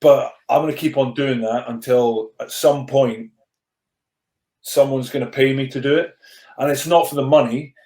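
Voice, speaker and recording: A man's voice: medium pitch, warm tone. A man in his thirties with a mostly controlled stammer, speaking in an ordinary mid-range voice. He tells his story earnestly and reflectively, with frequent restarts and repeated words. The sound is echoey, as if recorded in a bare room. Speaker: podcast guest, rugby player turned coach. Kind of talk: candid personal storytelling in conversation.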